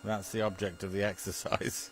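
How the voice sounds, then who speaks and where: Low-voiced British man chatting over background hum. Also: level tone, normal speed, vocal fry, fairly bright tone. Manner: chuckly, muttering to himself while operating. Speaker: amateur radio TV operator. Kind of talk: rambling casual chat over amateur television link.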